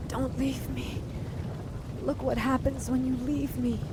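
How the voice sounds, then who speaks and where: Woman acting frightened young woman, breathy, trembling, pleading, slow delivery, higher voice, heavy rumbling noise behind. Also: level tone. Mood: desperate. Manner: pleading, clingy. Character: frightened young woman caught in a vampire attack. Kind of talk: audio drama acting. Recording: loud rumbling background noise.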